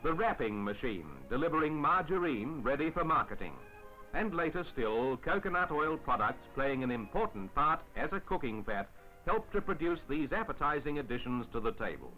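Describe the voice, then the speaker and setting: A man narrating a 1950s newsreel in a formal, measured announcer style on an old film soundtrack. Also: a little high, expressive, low-quality recording. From Australia. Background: music.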